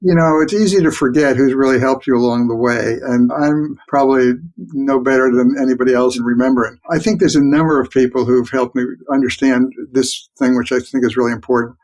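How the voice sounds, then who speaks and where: A mature man giving reflective, explanatory answers as an expert guest in an interview, speaking in a measured, plain-spoken way. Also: mid-range voice, steady tone, darkish tone, rough recording. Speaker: guest expert, physician researcher.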